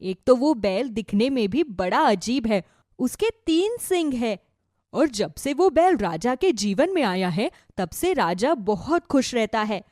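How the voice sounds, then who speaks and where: A chatty, inquisitive village wife from a cartoon fable, acted by a woman. Her voice is fairly high and very animated, gossipy in manner, with a dry studio closeness. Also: unhurried, no room sound. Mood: curious, gossipy. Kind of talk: Hindi animated moral story dialogue.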